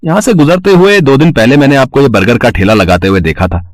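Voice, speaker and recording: A man's voice: mid-range voice, quick pace, no echo, natural voice. A man voicing plain adult male characters in a cartoon, a shopkeeper and a husband, speaking matter-of-factly. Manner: matter-of-fact, polite. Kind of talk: cartoon voice acting.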